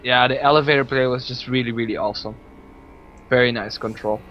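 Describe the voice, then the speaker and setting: A man from Belgium, not a native English speaker, casting a live esports match in a relaxed, chatty way with many fillers. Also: mid-range voice, a little fast. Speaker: esports caster. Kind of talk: live game commentary. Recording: dull lo-fi stream audio, faint music.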